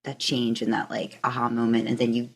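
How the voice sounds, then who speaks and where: A woman's voice: a bass voice, completely flat delivery, a little fast, husky. A woman hosting a podcast in casual, rambling, informal conversation. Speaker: podcast host. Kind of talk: casual interview chat.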